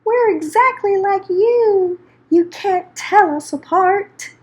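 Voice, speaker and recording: A woman voicing cheeky, boastful storybook creatures, taunting loudly in exclamations. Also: very high voice, takes it slowly, pitched above the performer's own voice. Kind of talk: children's storybook read-aloud.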